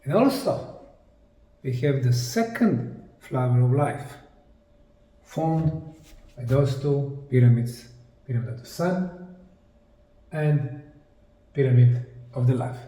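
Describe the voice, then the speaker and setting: Slow, echoey Bosnian-accented man presenting. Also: medium pitch, lively intonation, mellow, a little hiss or hum. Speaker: presenter, researcher. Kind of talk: explanatory presentation. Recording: echoey room, distant microphone.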